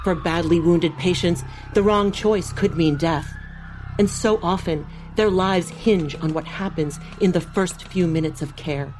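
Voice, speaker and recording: Low-pitched woman narrating dramatically. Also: unhurried, noisy background. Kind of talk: dramatic scripted audiobook narration. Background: music.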